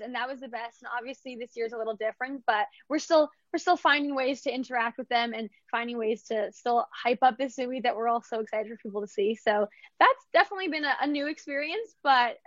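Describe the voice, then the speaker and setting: A woman's voice: fairly high pitch, fairly bright tone, no room sound, muffled recording. Chatty upbeat woman from Vancouver. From Canada, Vancouver. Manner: chatty and upbeat, heavy use of "like" and restarts. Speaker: actress being interviewed. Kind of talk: casual interview answers.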